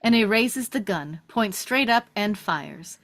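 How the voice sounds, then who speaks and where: A woman's voice: mid-range voice, lively intonation, takes it slowly, a bit bright. A woman narrating and hosting a script table read, moving between read stage directions and casual chat.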